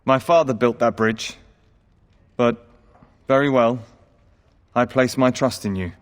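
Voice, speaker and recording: An actor playing an indignant, honorable knight in a video game, formal and pointed, in a reverberant hall. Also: male voice, medium pitch, measured pace, natural voice. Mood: reluctant.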